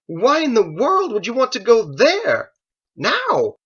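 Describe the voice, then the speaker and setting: A man's voice: very high-pitched, wildly swooping pitch, slow pace, fairly bright tone, breathy edge, muffled recording, pitched above the performer's own voice, full character voice. A man voicing an anxious, indignant male squire who protests and pleads with his master in an audiobook story. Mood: frantic, indignant. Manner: exclaiming, incredulous, protesting.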